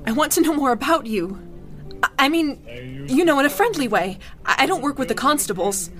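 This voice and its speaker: A woman's voice: high-pitched, very animated, a bit bright. An actress playing a troubled, weary, earnest woman who speaks hesitantly in a radio drama. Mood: nervous, weary. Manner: hesitant, halting. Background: music.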